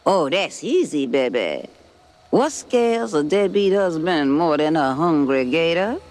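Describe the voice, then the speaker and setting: An actress playing a sly, superstitious swamp fortune-teller with a Louisiana Cajun accent, ominous and teasing, in a cartoon game. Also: female voice, low voice, lively intonation, a little slow, darkish tone. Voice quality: croaky, gravelly.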